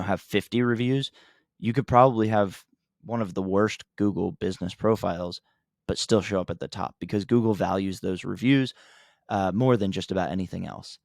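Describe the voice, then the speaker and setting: A man's voice: on the low side, moderate pace, gravelly, no echo, studio quality. A man hosting an unscripted marketing tutorial, talking in a plain-spoken, conversational way as he gives emphatic advice. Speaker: host of marketing tutorial for contractors. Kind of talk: casual unscripted instructional talk.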